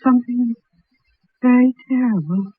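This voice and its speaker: Actress as high, slow, frail frightened wife. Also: female voice, over music, natural voice. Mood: frightened pleading. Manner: hesitant, pleading. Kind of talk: old-time radio drama acting. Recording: dry, tinny phone-like old radio recording.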